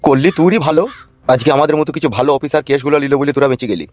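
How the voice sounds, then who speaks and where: A man's voice: a little high, rapid-fire, a little mellow, muffled recording, natural voice. A man voicing a stern police officer who scolds and lectures in a cartoon dub. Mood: scolding. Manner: scolding, bossy.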